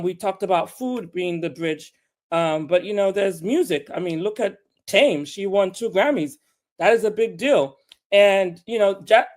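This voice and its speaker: This is a podcaster from Nigeria speaking in a mid-range voice on a panel discussion. The delivery is animated and emphatic, driving points home with rhetorical questions. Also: medium pitch.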